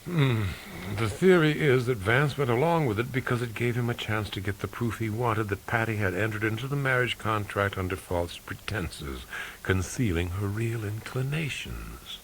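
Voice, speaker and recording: A man voicing a staunch, efficient, reserved career woman in an audiobook story, precise and measured. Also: on the low side, animated, a little hiss or hum, voice pushed up, rough recording. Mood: measured, reporting.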